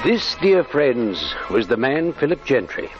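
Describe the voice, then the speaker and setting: Grave eulogist, old-time radio drama: solemn oratory, wide-swinging intonation, loud music underneath. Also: male voice, mid-range voice, very animated, a little slow. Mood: solemn, dramatic. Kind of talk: 1940s radio drama acting. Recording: dull old radio broadcast recording.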